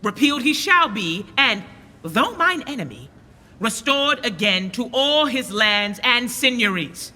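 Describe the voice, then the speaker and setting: Slow, commanding royal lord. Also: male voice, very high-pitched, big pitch swings, bright, forceful tone, heavily acted. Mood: regal authority. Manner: commanding, measured. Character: commanding usurper lord about to become king. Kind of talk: Shakespearean radio acting. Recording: long reverberant radio drama sound.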